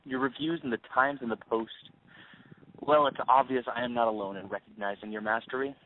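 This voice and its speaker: A man playing a younger, falsely friendly schemer with a deliberate, flattering manner in an audio play. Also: medium pitch, close to an everyday voice. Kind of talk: audio stage-play acting. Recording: tinny narrowband phone-like line.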